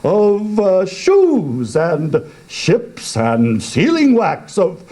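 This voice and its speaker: A man voicing a pompous, sly walrus who speaks in hesitant, drawn-out oratory, in a comic poetry reading. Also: high-pitched, huge pitch swings, dark tone, voice pushed up, exaggerated voice. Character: pompous, sly walrus, falsely sympathetic, hungry for oysters.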